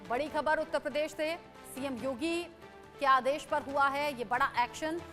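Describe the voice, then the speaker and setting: A woman's voice: high-pitched, normal speed, sharp tone, soft background music. A woman anchoring live breaking news in an emphatic, repetitive way and putting questions to a correspondent. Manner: emphatic, repeats key points.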